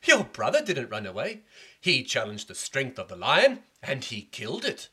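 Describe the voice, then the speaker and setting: A man voicing a group of boys who jeer and mock in high, loud voices. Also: animated, unhurried, husky, full character voice. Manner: mocking, jeering.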